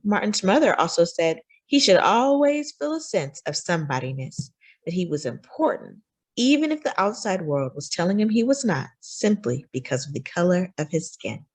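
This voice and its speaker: Expressive, husky-voiced woman reading to children. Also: lowish voice. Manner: expressive, deliberate phrasing for young listeners. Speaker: children's story time host and reader. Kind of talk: read-aloud of a children's picture book.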